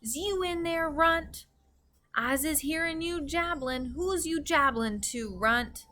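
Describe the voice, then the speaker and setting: A woman voicing a huge, menacing giant bully from a storybook, shouting accusingly in broken grammar. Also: high-pitched, slow pace, voice pushed up, exaggerated voice. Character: gruff fifty-foot giant bully, booming and menacing.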